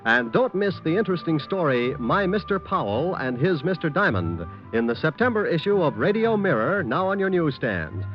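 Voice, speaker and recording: A man's voice: on the high side, big pitch swings, moderate pace, faint music behind. A man working as a 1940s radio announcer, reading scripted credits and promos on a dull old recording.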